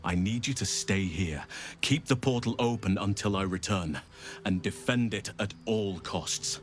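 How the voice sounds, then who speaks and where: An actor playing a solemn, resolute druid healer in a fantasy video game, speaking in a measured, commanding way. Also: male voice, on the low side, lightly acted. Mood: resolute, urgent.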